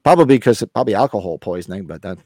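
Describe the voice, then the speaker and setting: Co-host, male, lively, fast talker, voice fading, dry close sound. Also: fairly low pitch, dry recording. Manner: lively, joking, reads viewer comments aloud. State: losing his voice. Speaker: podcast co-host. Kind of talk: casual chat, reading live chat.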